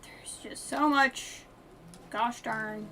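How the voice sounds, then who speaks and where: Female streamer, slightly high pitch, casual self-directed play-by-play in short playful asides, noisy recording with typing. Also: steady tone, very slow, bright, forceful tone. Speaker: live streamer. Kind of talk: casual gameplay commentary.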